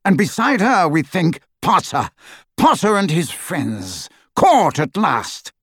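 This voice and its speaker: A haughty aristocrat, eager and impatient, as a man puts him on for a storybook. His pitch climbs and bounces on every phrase. The voice is a bit husky and recorded dry and close. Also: big pitch swings, slow pace, a bit bright, dry recording, exaggerated voice. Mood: excited.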